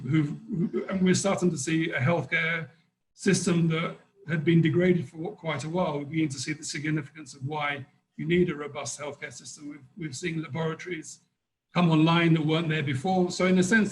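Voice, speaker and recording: A man speaking off the cuff as a conference panelist, halting and repeating words as he works out his thoughts. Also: fairly high pitch, steady tone, rough recording.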